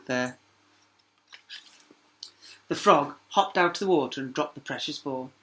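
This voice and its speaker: A man's voice: on the high side, a little slow, very noisy. A man narrating a children's story expressively as a teacher, with small asides to young listeners.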